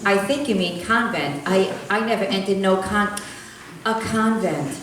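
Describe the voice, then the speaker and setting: A woman's voice: mid-range voice, measured pace, a little hiss or hum, close to an everyday voice. A woman playing a shy, prim pet shop clerk in a live old-time radio comedy, politely correcting everyone. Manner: polite, patiently correcting others. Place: library meeting room, live show. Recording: very echoey, distant mic.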